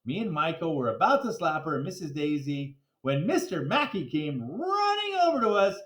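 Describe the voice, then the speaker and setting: A man's voice: fairly high pitch, big pitch swings, moderate pace, a bit bright. A man reading a children's storybook aloud, with casual asides and simple explanations for young listeners. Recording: echoey, roomy sound.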